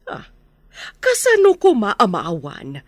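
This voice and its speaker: A woman's voice: mid-range voice, huge pitch swings, slow, no room sound, exaggerated voice. An actress playing a haughty, scheming, threatening wealthy lady in a radio drama, with a Filipino accent.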